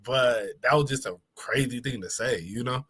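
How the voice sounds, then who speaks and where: A young man with a lisp, co-hosting a podcast and telling stories in an animated, slangy way. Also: mid-range voice, moderate pace, breathy edge. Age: young adult. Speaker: podcast co-host. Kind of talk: casual chat.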